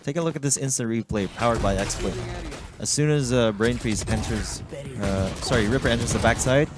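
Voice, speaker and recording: A man's voice: medium pitch, a little fast, over music. A man giving conversational analysis as an esports co-caster, casually thinking aloud. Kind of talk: live analytical commentary, conversational.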